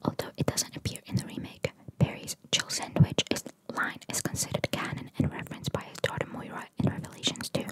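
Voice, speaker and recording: A woman whispering in an ASMR style, reading trivia aloud with chatty, informal asides in a breathy, gravelly whisper. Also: rough recording. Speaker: ASMR video host.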